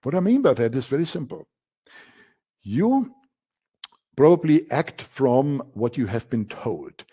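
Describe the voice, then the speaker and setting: German-accented man with a mellow voice. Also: mid-range voice, very animated, a little slow, slightly rough. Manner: emphatic, repeats key words, frequent fillers. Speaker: coaching instructor. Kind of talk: masterclass presentation.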